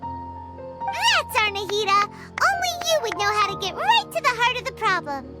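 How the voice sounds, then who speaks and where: A woman voicing a tiny floating fairy girl companion, high-pitched and bouncy, in a game with music behind. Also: very animated, normal speed. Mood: cheery. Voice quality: high-pitched, bouncy, talks of herself in third person. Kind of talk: game voice acting.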